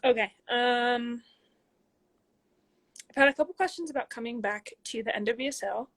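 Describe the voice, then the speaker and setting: A woman in her twenties, speaking from a room at home into a phone, chats casually with many ums as she reads out viewer questions and answers them, sometimes dropping to soft asides. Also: a little high, moderate pace. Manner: reads comments aloud, chatty, frequent ums, drops into soft asides. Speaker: athlete hosting a live Q&A.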